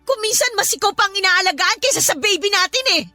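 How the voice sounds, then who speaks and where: A voice actress playing an exhausted young mother who scolds her husband in a tearful, shrill way. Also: expressive, quick pace, sharp tone, exaggerated voice. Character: exhausted young mother, sharp-tongued and resentful. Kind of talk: Tagalog radio drama acting. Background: music.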